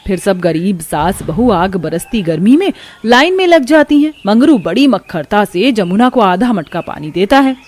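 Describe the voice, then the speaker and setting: A woman's voice: medium pitch, sing-song, normal speed, hardly any pauses, darkish tone. A woman narrating a scripted story in a dramatic storytelling manner.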